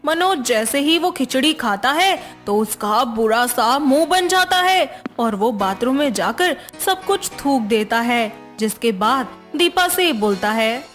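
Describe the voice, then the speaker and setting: A woman narrating a scripted family story in an even, measured way, with soft music underneath. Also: fairly high pitch.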